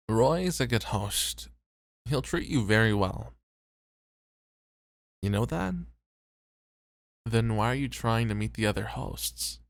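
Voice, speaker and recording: A man's voice: mid-range voice, animated, takes it slowly, creaky, long pauses, dry recording. A man voicing a conscientious, weary, self-doubting host in an ASMR roleplay, sincere and a little hesitant. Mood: curious, relaxed. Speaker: voice actor.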